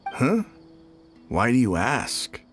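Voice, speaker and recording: A man's voice: mid-range voice, huge pitch swings, slow, a little hiss or hum. An actor playing a casual, defensive male worker in video game dialogue, chatty and shrugging. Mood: puzzled, recounting. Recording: music playing behind.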